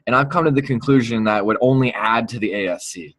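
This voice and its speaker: A man's voice: medium pitch, fast talker, fairly bright tone, dry recording, rough recording. A man hosting a business meeting, laying out a partnership proposal point by point in an earnest way. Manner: earnest, explains step by step. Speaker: meeting host.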